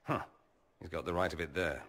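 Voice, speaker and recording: A man's voice: low-pitched, brisk, fairly bright tone, big-room sound. An actor playing a witty, flirtatious male mage who talks in a dry, teasing, sardonic way. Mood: teasing, wry. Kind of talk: video game voice acting.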